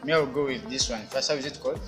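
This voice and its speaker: A man's voice: mid-range voice, rapid-fire, music in the background. A man from Sweden hosting a casual food-tasting vlog, easygoing and switching between English and Luganda. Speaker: vlog host.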